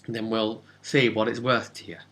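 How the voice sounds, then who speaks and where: A man playing a sly, bright-voiced fantasy trader. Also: on the low side, little pitch movement, normal speed. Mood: puzzled, bargaining. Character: sly, haggling male fantasy trader aboard a ship.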